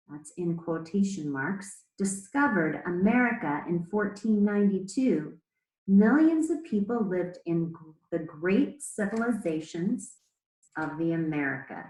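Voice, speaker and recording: A woman with a mid-pitched voice reads aloud as an elementary teacher would. Her delivery is measured and explanatory, with pauses between phrases. The recording is roomy and echoey. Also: animated. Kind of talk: read-aloud lesson to children.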